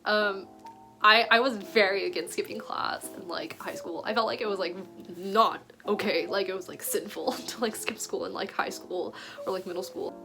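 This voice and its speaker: A woman's voice: mid-range voice, creaky, sharp tone. Chatty young woman vlogging over music. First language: Chinese. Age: 20s. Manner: chatty, heavy use of "like", giggly asides. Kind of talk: casual chat.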